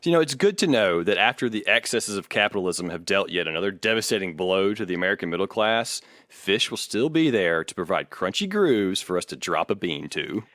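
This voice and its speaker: Low-voiced, close-miked man hosting a podcast. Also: sing-song, brisk, hardly any pauses, fairly bright tone, no room sound. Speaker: podcast host. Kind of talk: casual music podcast talk.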